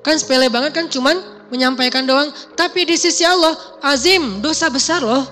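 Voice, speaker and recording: A man, giving a casual sermon, talking in a conversational, emphatic way and chanting verses slowly. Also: extremely high pitch, sharp tone, long reverb tail. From Indonesia. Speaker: preacher.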